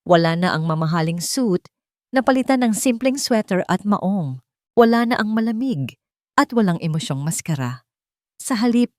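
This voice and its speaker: A woman storyteller delivers scripted, dramatic narration in a somewhat low voice. The recording is dry and close, with very little room sound. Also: darkish tone, dry recording.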